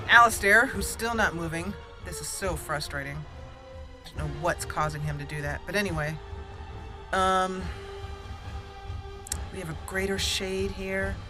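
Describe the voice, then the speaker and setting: A woman hosting a game playthrough, fretfully talking through each move as she plays. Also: medium pitch. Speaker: let's play host. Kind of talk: gameplay commentary.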